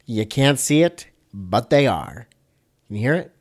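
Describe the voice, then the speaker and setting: A man's voice: medium pitch, very animated, measured pace. A man hosting an interview podcast, playful and jokey, with self-deprecating asides. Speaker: podcast host. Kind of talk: casual interview, upbeat show intro.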